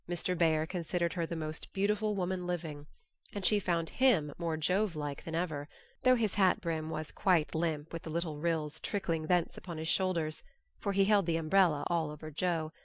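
A woman's voice: on the low side, no room sound. A woman reading scripted literary narration as an audiobook narrator.

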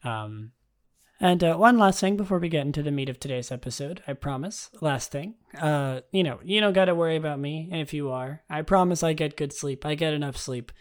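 A young man with a raspy voice hosting his own podcast, talking in a relaxed, rambling way and reading out game news. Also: a little fast. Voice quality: raspy, low tonight. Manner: relaxed and rambling, many ums and restarts. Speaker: podcast host.

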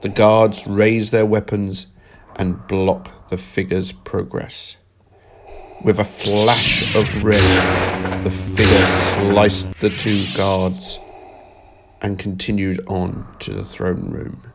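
A man's voice: lowish voice, little pitch movement, takes it slowly. A man reading scripted narration for a space fantasy story, as its narrator. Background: music under the voice.

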